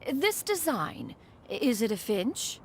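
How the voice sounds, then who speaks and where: A woman's voice: fairly high pitch, sing-song, slow, slight background noise, heavily acted. An actress voicing an ancient, aloof female crane spirit who speaks formally and calls herself "one.". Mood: stately.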